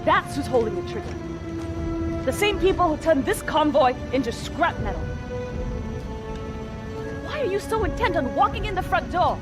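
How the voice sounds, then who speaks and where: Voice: high-pitched, big pitch swings, normal speed, long pauses, loud music behind the voice. An actor playing an outspoken, defiant special forces lieutenant who talks bluntly in a video game cutscene. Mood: challenging, angry. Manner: blunt, challenging. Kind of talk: dramatic game cutscene acting.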